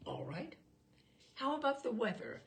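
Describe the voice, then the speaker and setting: A woman voicing a courteous male questioner who methodically examines a witness in a humorous story, formal and measured. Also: a little high, sing-song, a little hiss or hum. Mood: polite, inquiring. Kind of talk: humorous short story read aloud.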